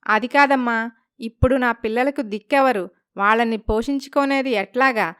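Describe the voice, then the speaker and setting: Lady doing a sad pleading village mom in a cartoon story, highish clear voice. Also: moderate pace, fairly bright tone, no echo, studio quality, natural voice. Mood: grieving. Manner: lamenting, pleading. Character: sorrowful, despairing village mother of young children.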